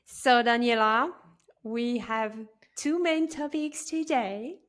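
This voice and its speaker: Slow-paced woman podcast host. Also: fairly high pitch, fairly bright tone. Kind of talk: podcast interview.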